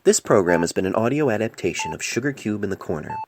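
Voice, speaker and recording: A man narrating a scripted story in a solemn, measured way, recorded amateurishly on a cell phone mic. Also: on the low side, lively intonation, slight background noise. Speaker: amateur fan fiction author and narrator.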